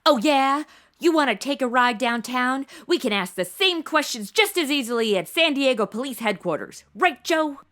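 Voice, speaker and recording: An actress as a brash modern twenty-something woman sleuth in a comedy radio play. Her voice sits high and swings widely in pitch. She is sassy, excitable and quick to butt in with slang. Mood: snarky, bold. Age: 20s. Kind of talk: comedic audio drama acting.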